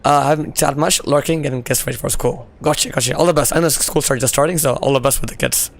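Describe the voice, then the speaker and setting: Hoarse, gravelly, chatty male streamer. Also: mid-range voice, fast. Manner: chatty, laughing, full of "you know" fillers. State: losing his voice, hoarse. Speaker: game streamer. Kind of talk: casual live-stream chat while gaming.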